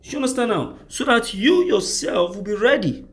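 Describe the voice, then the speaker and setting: A man's voice: very high voice, animated, normal speed. A man with a Nigerian accent reading out news and giving impassioned commentary, repeating phrases for emphasis.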